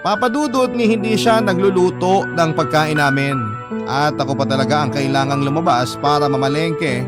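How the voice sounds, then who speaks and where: A man's voice: a little high, normal speed, loud music behind the voice. A man with a Filipino accent reading a listener's letter as the scripted narration of a radio drama.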